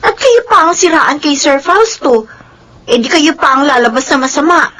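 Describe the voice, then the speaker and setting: A woman's voice: sits high, moderate pace, a bit bright, a little hiss or hum, poor audio. A woman acting as a caring, respectful young student, a nanny's daughter, in a Filipino radio drama. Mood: worried. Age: young adult. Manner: polite, deferential.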